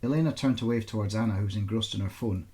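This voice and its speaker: Gravelly-edged man, author reading aloud. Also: lowish voice, very fast, dark tone. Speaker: author reading own novel. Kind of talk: informal introduction, then expressive audiobook-style narration.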